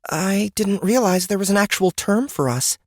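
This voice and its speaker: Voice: medium pitch, expressive, normal speed, no echo, voice pushed up. A narrator voicing a self-assured married woman in a fantasy audiobook, with a firm, dry, prim manner. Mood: dry, earnest.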